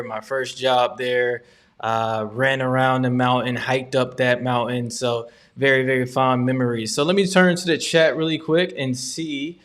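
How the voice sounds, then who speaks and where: A man's voice: mid-range voice, a bit bright. A man from Georgia hosting a live question-and-answer stream, explaining updates in an upbeat, conversational way with frequent ums. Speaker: host.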